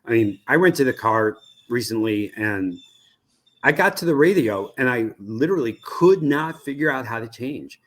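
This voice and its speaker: An American man speaking as an interview guest, giving conversational expert commentary in a measured way, often halting to search for the right word. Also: mid-range voice, lively intonation, a bit bright. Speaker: interview guest, radio research executive.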